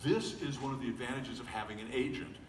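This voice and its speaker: A man's voice: medium pitch, quick pace. A middle-aged man, a voice actor, talking casually at a convention panel in a joking, self-deprecating way with lots of fillers and restarts. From United States. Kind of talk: casual panel talk to an audience. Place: large convention hall. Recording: echoey hall recording from far off, faint noise.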